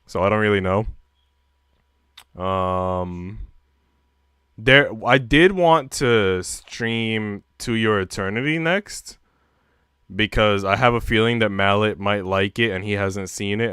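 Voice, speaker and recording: A man's voice: on the low side, a bit bright, clear, resonant tone, no echo. A young man hosting a livestream, speaking informally into a close mic. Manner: slangy, swearing, informal. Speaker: livestream host. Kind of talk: casual livestream chat.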